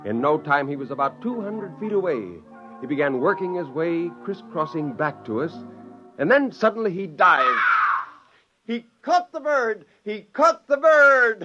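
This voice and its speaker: An actor playing an excitable scientist father who narrates and acts in an old radio drama. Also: sits high, unhurried. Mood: excited, coaxing. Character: excitable, gleeful scientist father narrating his secret creation. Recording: dull lo-fi old radio, music underneath.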